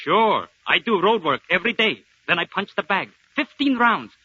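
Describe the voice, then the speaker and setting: An actor playing an eager, boastful young barracks boy in a 1940s radio play, speaking in excitable, simple phrases. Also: sits high, normal speed, no echo, heavily acted. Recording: dull, lo-fi 1940s radio recording.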